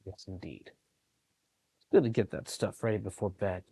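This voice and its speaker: A man's voice: low-pitched, normal speed, vocal fry, slightly rough, dry recording. A man reading a picture book aloud to young children, with chatty, encouraging asides about the pictures. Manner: animated, encouraging, explains pictures to young listeners. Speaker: children's storytime reader at a public library.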